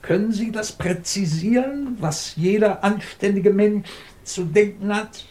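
An actor playing a ruthless, scornful British scientist in a 1950s German radio drama, cold, cutting and commanding. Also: male voice, high-pitched, measured pace, a little mellow. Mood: mocking, combative.

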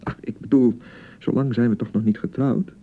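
A man acting an excitable young newlywed husband in a radio drama, by turns blustering and awkwardly pleading. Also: mid-range voice, moderate pace, phone-quality sound, lightly acted. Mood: awkward, coaxing. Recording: thin, band-limited 1990 radio broadcast.

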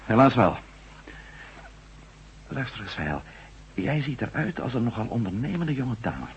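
Wry ex-astronaut reporter in old radio sci-fi play, swoopy animated voice, muffled hummy tape. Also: male voice, medium pitch, a little slow. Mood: eager, hesitant. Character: impulsive, wry male ex-astronaut turned reporter, adventurous. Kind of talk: Dutch science-fiction radio drama acting. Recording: dull, noisy old radio recording with hum.

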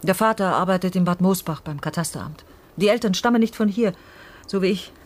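An actress playing a guarded, wry middle-aged mother in a radio crime drama, with a breathy, husky voice and a terse, direct manner. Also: lowish voice, fairly quick, close to an everyday voice. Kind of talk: naturalistic German radio drama dialogue.

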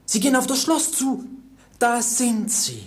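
A woman's voice: on the high side, very animated, unhurried. A woman playing a watchful court servant in a radio play, reporting what is seen in a literal-minded way. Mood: alert. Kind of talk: German radio play acting.

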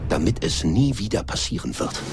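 Film drama role: resolute prosecutor-like man, fairly deep voice, grave delivery, big score underneath. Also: lively intonation, normal speed, creaky. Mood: stern, resolute. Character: stern, resolute man demanding justice after war. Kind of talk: dramatic film dialogue from a trailer. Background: loud dramatic music.

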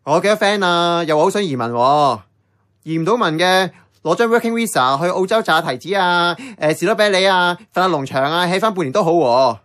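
A man's voice: high voice, animated, fairly quick, a bit bright. An actor with a Hong Kong Cantonese accent playing a casual, blunt young man in radio drama dialogue. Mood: puzzled, defensive.

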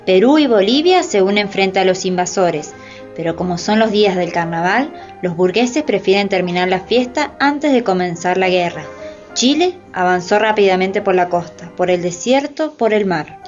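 A radio theatre chronicler voiced by a woman, announcing events like a scripted bulletin. Medium in pitch, on a dull recording with faint music. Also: expressive, normal speed, close to an everyday voice. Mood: declarative. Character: chronicler announcing war history. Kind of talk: scripted dramatized narration in Spanish.

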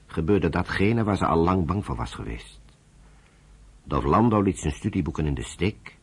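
The grave narrator of a historical radio play. His voice is very low and a little husky, moving with lively intonation through solemn, expressive storytelling. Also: exaggerated voice. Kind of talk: scripted radio drama narration.

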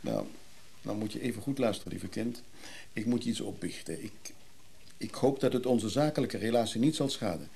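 A man playing a gruff, blunt former drinker who knows antique clocks, in a naturalistic radio drama. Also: on the low side, expressive, normal speed, mellow. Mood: gruff, confessing. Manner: grumpy, blunt.

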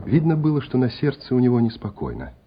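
A man's voice: mid-range voice, wildly swooping pitch, fast, slight background noise. A man reading scripted dramatic narration as the narrator of a radio play. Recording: muffled old radio recording. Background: music.

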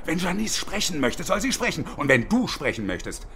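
Radio drama for domineering, sardonic hostage-taker in his fifties: highish male voice, very animated, theatrical. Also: fairly quick, slight background noise. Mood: sarcastic. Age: 50s. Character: bitter, sarcastic unemployed engineer turned armed hostage-taker.

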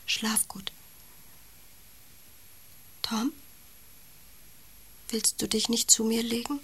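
Very breathy, gentle, coaxing wife. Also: female voice, fairly high pitch, fairly even intonation, extremely slow, full character voice. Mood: soft, coaxing. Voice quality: very breathy, half-whispered. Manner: soft, coaxing, nagging gently. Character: gentle, caring, persistent wife of taciturn man. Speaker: radio drama actor. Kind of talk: intimate German radio play acting.

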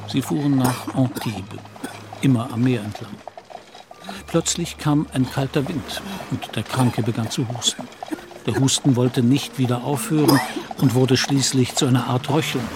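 A man narrating a literary radio play in a measured storytelling manner. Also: medium pitch, background noise.

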